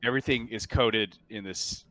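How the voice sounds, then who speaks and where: A man improvising narration as game master of a tabletop game over group voice chat, halting and often restarting his phrases. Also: lowish voice, fairly bright tone, no room sound.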